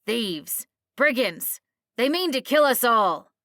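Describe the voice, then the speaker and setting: A woman voicing a cowardly, agitated male rabble-rouser in a storybook, with shrill, theatrical accusations. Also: measured pace, bright, forceful tone, smooth, no room sound, clean studio sound. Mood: panicked outrage.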